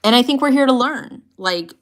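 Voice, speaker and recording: A woman's voice: high-pitched, expressive, moderate pace. A woman in her late thirties hosting a casual livestream, chatting loosely and swearing freely. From United States. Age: 30s. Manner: chatty, swearing, laughing often. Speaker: livestream host. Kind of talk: casual livestream chat reading comments.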